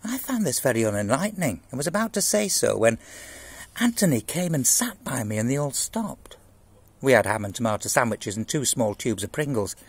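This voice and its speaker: A man in his sixties with a husky voice, reading a children's novel aloud in an expressive storytelling style, outdoors. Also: mid-range voice, brisk, no echo. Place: outdoors in a garden. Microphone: phone at arm's length.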